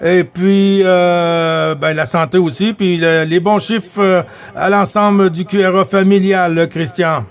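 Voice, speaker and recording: A man with a Québécois French accent hosts a CB radio net, passing the mic and greeting stations in a relaxed, rambling way. His voice sits quite high for a man. He fills gaps with plenty of hesitations and tag words. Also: clean tone. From Quebec. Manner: unhurried, chatty, frequent hesitations and tag words. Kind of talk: casual radio chat running a net.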